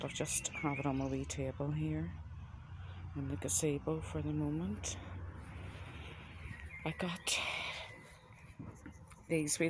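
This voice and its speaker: A man with a Northern Irish accent chats gently and casually as he narrates an unscripted garden tour on a handheld phone outdoors. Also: on the high side, background noise. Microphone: handheld phone camera.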